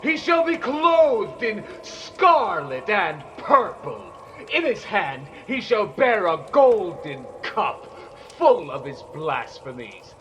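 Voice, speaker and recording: A man's voice: big pitch swings, slow, full character voice, rough recording. A man acting a fierce holy prophet crying doom in an audio play, incantatory and declaimed. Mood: denouncing, ominous. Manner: booming, incantatory, shouted.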